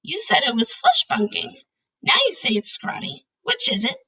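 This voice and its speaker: A woman's voice: high-pitched, wildly swooping pitch, moderate pace, bright, forceful tone, breathy edge, pitched above the performer's own voice, full character voice, poor audio. A woman voicing a curious, polite and patient young girl in a storybook, asking and answering questions.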